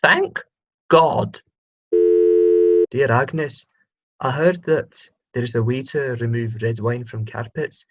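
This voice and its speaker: An actor playing earnest letter writers to a radio agony aunt, reading with deliberate comic timing. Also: mid-range voice, fairly bright tone. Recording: dull, muffled recording.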